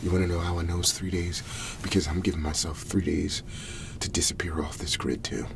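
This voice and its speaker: A man playing a weary, desperate cleanup agent in an ASMR roleplay, pleading in halting, breathy whispers and murmurs. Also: low voice, flat delivery, background noise. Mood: tense, insistent.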